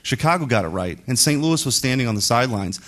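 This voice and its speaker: A man's voice: medium pitch, expressive, fast, fairly bright tone. A man speaking as a senator in committee, making an impromptu, emphatic case with rhetorical questions and tags. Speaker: state senator. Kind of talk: impromptu political speech in committee.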